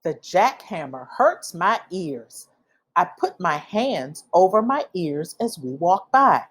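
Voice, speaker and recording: Lady reading a kids picture book out loud, really slow n expressive, voice goes up and down a lot. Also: on the low side, slightly rough. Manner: expressive, deliberate phrasing for young listeners. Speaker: read-aloud storyteller. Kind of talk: children's picture book read-aloud.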